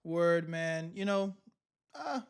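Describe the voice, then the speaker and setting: A young man streaming live, chatting casually with his viewers in loud, laughing, slang-heavy banter. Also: fairly high pitch, expressive, slow pace, creaky, noisy background. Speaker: streamer reacting to videos.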